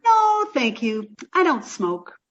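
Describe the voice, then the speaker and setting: A woman's voice: high voice, very animated, slow, lo-fi sound, exaggerated voice. A woman playing a sweet, dim-witted young roommate in an old-time radio sitcom, naive and literal-minded. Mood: innocently literal.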